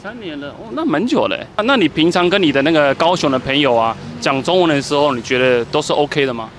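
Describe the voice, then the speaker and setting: Lively man interviewing on the street. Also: fairly high pitch. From Taiwan. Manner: friendly, halting English, frequent agreeing "yeah". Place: city street outdoors.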